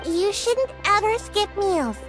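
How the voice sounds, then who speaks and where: A woman voicing a small, chirpy, curious fairy companion with a squeaky childlike voice in a video game. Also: lively intonation, slow, a bit bright, soft background music, heavily acted. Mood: friendly.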